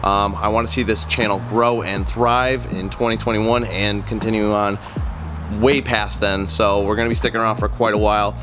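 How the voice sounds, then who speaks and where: A man's voice: lowish voice, little pitch movement, normal speed. A young man with a nasal voice from a cold, talking in an easygoing, earnest way through a casual car walkaround vlog with many ums and uhs. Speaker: car vlogger. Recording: music playing underneath.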